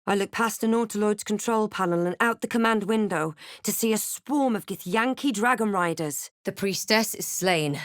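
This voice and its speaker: A woman voicing a wry, observant female adventurer who narrates her journey in a video game, measured and reflective. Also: mid-range voice, no room sound, clean studio sound, natural voice. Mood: grave, reflective. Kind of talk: video game character voice lines and first-person narration.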